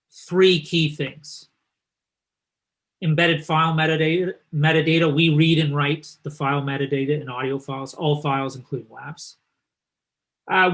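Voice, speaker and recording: A man's voice: on the high side, fairly bright tone. Upbeat Canadian man hosting a live talk. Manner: chatty and upbeat, teasing his guest. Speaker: host and company founder. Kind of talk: live online talk and presentation.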